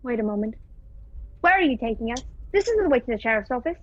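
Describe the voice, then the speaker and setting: High-voiced indignant young woman played by an actress. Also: big pitch swings, quick pace, no echo. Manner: indignant, protesting. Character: Pamela, indignant young woman, haughty and stubborn. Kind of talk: old-fashioned radio play acting. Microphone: video call. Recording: muffled video-call audio.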